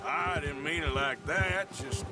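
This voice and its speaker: An actor playing a weary, rugged American outlaw cowboy in a western game, with a folksy, dryly good-natured drawl. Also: male voice, fairly high pitch, a little slow, noisy background, close to an everyday voice. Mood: weary, reflective. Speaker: video game character. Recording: loud music behind the voice. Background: loud music.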